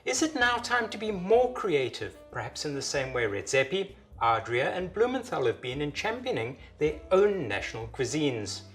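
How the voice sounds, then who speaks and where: A man co-hosting a food show, asking measured, rhetorical questions. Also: fairly high pitch, big pitch swings, a bit bright, soft background music. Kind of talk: scripted intro and interview question.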